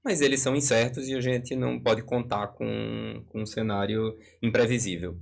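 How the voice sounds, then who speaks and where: A man's voice: mid-range voice, fairly even intonation, moderate pace, creaky. A man with a Brazilian accent explaining things didactically in a live discussion, working through hypothetical examples.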